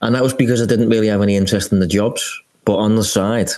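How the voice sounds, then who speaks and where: A young man with a Liverpool accent answers podcast questions. His voice sits low, and he thinks aloud, pausing on fillers and restarting his sentences. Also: steady tone, darkish tone. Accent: Liverpool (Scouse). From Liverpool, England. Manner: hesitant, many fillers and restarts. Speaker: football analyst guest. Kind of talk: casual interview answers.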